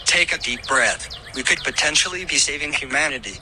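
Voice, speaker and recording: A man's voice: on the high side, fairly quick, pushed and bright, slight background noise. A man playing an earnest, determined would-be demon redeemer in an amateur audio drama.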